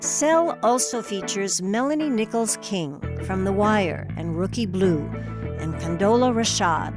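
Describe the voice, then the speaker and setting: A woman announces as a podcast host. Her pitch is medium and her delivery is scripted, like narration. Music plays clearly behind her. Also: lively intonation, measured pace.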